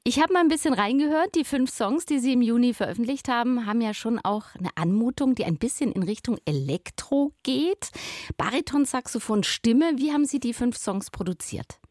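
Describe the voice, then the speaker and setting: Woman radio presenter, friendly questions, animated melody, dry studio mic. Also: fairly high pitch, normal speed, no room sound. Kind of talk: radio interview and announcing. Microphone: studio microphone.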